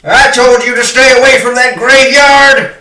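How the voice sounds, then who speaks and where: A man's voice: very high-pitched, steady tone, measured pace, bright, forceful tone, full character voice. An actor playing an agitated, overwrought man in a 1970s horror film. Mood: angry, ranting. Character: agitated, frantic male horror film character. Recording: echoey old film clip with music.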